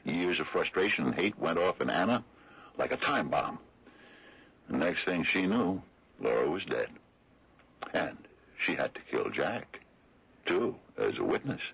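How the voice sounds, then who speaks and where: Sardonic small-town lawman, extremely low husky voice, folksy deliberate delivery, old radio drama recording. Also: sing-song, a bit bright, full character voice. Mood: somber, sardonic. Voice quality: very deep, gravelly, rough. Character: wry, slow-talking older small-town lawman exposing a cover-up. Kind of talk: 1970s radio mystery acting.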